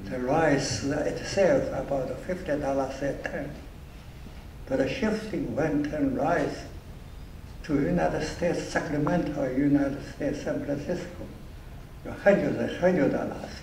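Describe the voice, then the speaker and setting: A man's voice: on the high side, slow pace, a little mellow. Older chinese-accented man, broken english, stops and repeats himself, distant echoey mic. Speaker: award honoree speaker. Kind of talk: speech with audience Q&A. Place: event hall. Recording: echoey room from far off, faint noise.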